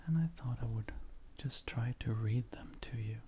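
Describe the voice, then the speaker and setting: A man with a soft, breathy, whispering voice, reading poetry gently in an unhurried, measured ASMR style. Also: mid-range voice. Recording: faint background noise.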